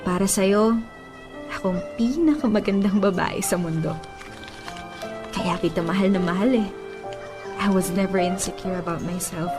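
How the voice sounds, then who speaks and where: A woman's voice: medium pitch, measured pace, pauses often, close to an everyday voice. A woman with a Filipino accent playing a lovelorn young woman confiding tenderly in a radio drama. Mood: tender, wistful. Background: music under the voice.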